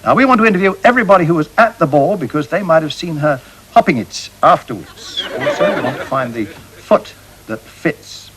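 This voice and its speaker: Mock-serious crime bulletin presenter, earnest comic delivery, lively intonation, faint background noise. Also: male voice, fairly high pitch, brisk, rough recording. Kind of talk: parody of crime appeal broadcast.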